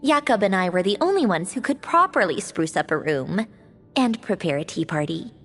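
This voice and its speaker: A woman voicing a gentle, wistful young lady in an anime-style video game, with long reverb. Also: medium pitch, expressive, moderate pace, faint music behind. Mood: fond.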